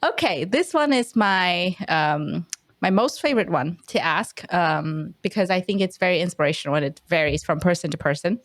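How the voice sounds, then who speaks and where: Low-voiced woman hosting a podcast. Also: animated, no echo. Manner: friendly, affirming, measured. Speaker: podcast host. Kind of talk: casual interview conversation.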